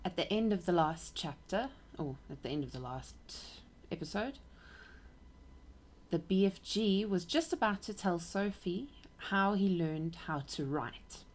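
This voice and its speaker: A woman's voice: on the low side, animated, a little slow. Woman reading a storybook aloud. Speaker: aunt reading a book aloud.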